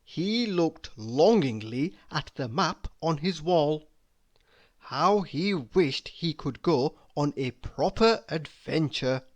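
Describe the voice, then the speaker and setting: Someone reading a kids storybook out loud, really slow, lots of up and down, drags out the important words. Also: medium pitch. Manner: deliberate, expressive storytelling with drawn-out emphasis on key words. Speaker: storybook reader. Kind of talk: read-aloud children's storybook narration.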